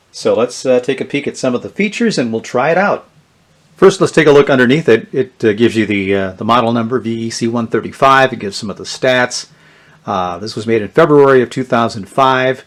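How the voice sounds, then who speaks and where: A man giving a casual, unscripted hands-on product walkthrough in a plainspoken, explanatory manner. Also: medium pitch. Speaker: gadget reviewer. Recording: clear background noise and clicks.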